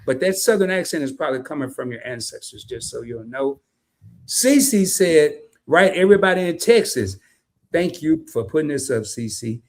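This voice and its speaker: A man co-hosting a casual live stream, reading out viewer comments and telling lively stories with quick asides. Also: high-pitched. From family from Arkansas and Chicago, lived in California. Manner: animated storyteller who drops into quick asides and reads comments in voices. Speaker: co-host of a live discussion stream.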